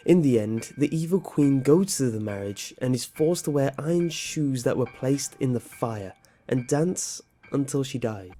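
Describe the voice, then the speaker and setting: A narrator giving a steady scripted read of a countdown of dark story origins, played from a video. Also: medium pitch, normal speed. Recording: narration with music bed.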